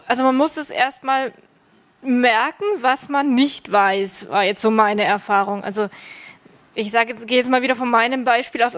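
Woman, workshop participant on a panel, reflective, slightly high voice. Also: a bit bright. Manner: reflective, searching for words. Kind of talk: panel discussion. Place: stage at a festival panel.

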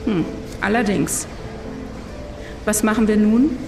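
A woman's voice: medium pitch, slow pace, gravelly, music in the background. A woman playing a sceptical, wry and hesitant travel companion in a sci-fi audio drama. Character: sceptical, wry woman friend reluctantly joining an adventure. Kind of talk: German science-fiction audio drama. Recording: roomy, distant mic, background noise.

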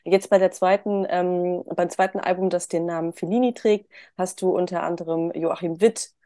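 A woman's voice: fairly low pitch, fairly even intonation, normal speed, dark tone, no echo. Dry-sounding woman hosting a podcast. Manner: friendly, curious questioning. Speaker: podcast host. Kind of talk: casual interview.

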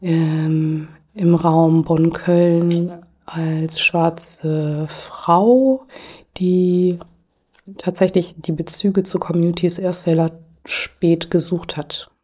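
A middle-aged woman speaking as a podcast guest, thoughtful and hesitant with frequent pauses and fillers. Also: lowish voice, steady tone, dark tone, breathy edge. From Germany. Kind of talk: reflective conversational interview.

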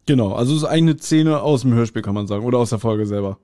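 A man's voice: medium pitch, rapid-fire, dark tone, no room sound, clean studio sound. A man co-hosting a podcast, chatting casually in blunt, slangy language. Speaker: podcast co-host. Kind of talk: casual chat reviewing an audio drama. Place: remote recording at a computer, on video call.

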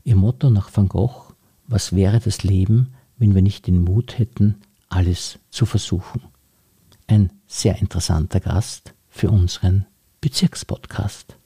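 Husky, gravelly male interviewer. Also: low-pitched, unhurried, warm tone. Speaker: podcast interviewer. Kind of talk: introduction and conversational interview.

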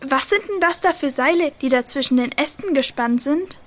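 A woman's voice: high-pitched, normal speed, lightly acted. A woman playing an eager, naive little boy squirrel in a children's audio drama, with a bubbly, impatient, childlike delivery. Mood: searching.

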